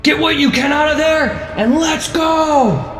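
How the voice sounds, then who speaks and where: Man acting out a jumpy shouting thug lookout. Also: extremely high pitch, a little slow, reverberant, voice pushed up. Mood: urgent, shouting. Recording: loud dramatic music underneath.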